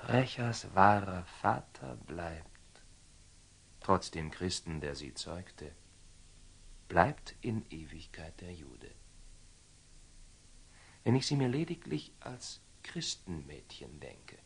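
An actor playing a proud, hot-headed knight in love, in an agitated, self-questioning monologue from a classical radio drama. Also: male voice, low-pitched, breathy edge, exaggerated voice.

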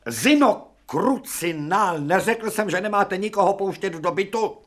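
An actor playing an irascible, authoritative professor in a radio drama. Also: male voice, sits high, lively intonation, a bit bright. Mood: angry. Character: irascible authoritative male professor, quick-tempered yet courteous.

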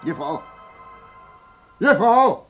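A man a fussy, flustered office boss in a radio play. His voice leaps about in pitch as he stammers obsequiously or snaps at staff. The recording is muffled, with music around it. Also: sing-song, drawn-out words, fairly bright tone, heavily acted. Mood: irritated, commanding. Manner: stammering and obsequious, snapping when giving orders. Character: flustered, fawning male broadcasting boss who barks at staff.

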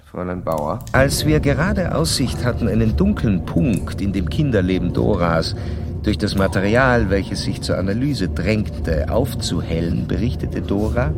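An actor in a radio play as a self-assured, probing psychoanalyst who speaks in formal, precise, bookish sentences. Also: male voice, fairly low pitch. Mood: suspicious, probing. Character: probing, self-assured doctor and psychoanalyst, old-time Vienna. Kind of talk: radio play acting with first-person case narration.

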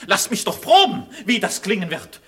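An actor playing a despairing, bitter young craftsman accused of murder, in a theatrical 1950s radio play style, swinging between hushed and fervent. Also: high voice, big pitch swings, moderate pace. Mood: bitterly sarcastic.

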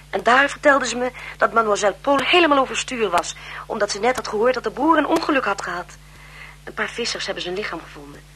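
An actress playing a polite, gossipy governess who eagerly serves a marquise, in a 1970s Dutch radio drama. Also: female voice, medium pitch, sharp tone, slight background noise. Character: deferential, chatty, gossipy governess to a marquise's children.

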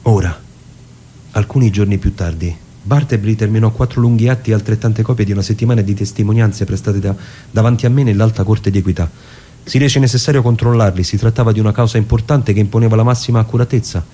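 A man narrating a literary story for radio in a deliberate, theatrical way, with short measured phrases. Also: lowish voice, warm tone, slight background noise. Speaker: narrator and performer. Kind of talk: dramatic literary reading for radio with music. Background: music with plucked guitar.

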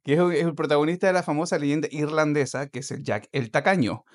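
A man's voice: fairly high pitch, quick pace. A man co-hosting a podcast, reading aloud and telling stories with casual, playful asides. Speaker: podcast co-host.

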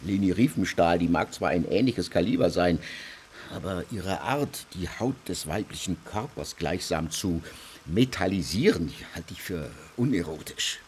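An actor playing a pedantic, self-assured legal theorist in a radio drama, lecturing emphatically. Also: male voice, sits low, expressive, moderate pace, a little mellow, a little hiss or hum. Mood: pompous, assured. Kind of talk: German radio drama acting.

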